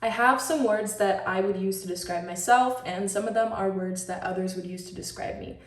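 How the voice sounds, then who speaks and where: A woman's voice: mid-range voice, normal speed. A teenage girl from Switzerland giving an upbeat, chatty show-and-tell presentation of a school project. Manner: chatty and upbeat, talks a lot. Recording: roomy echo, mic at a distance.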